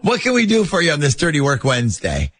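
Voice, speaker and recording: A male radio host bantering casually with a caller. His voice is on the high side for a man and husky, swinging in pitch as he playfully prods with questions. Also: huge pitch swings, fast, dry recording.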